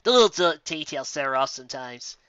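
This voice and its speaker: A helpful little dragon sidekick, as a woman puts him on, matter-of-fact as he explains things away. The voice is bright and lively, set in a dry, slightly muffled recording. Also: deep voice, brisk, dry recording. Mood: apologetic.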